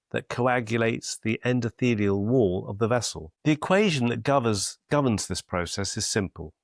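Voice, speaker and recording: A middle-aged man, giving a measured, deliberate scripted lesson that stresses key terms. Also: lowish voice, vocal fry, no room sound, studio quality. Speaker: clinical educator. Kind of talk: scripted educational explainer.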